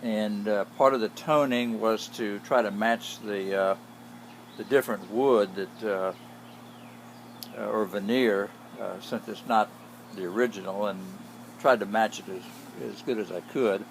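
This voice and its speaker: Guy with a lowish voice showing off his hobby project, halting and plain, some hum and noise behind him. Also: fairly even intonation. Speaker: hobbyist radio restorer. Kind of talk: casual unscripted demonstration. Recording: clear background noise with hum.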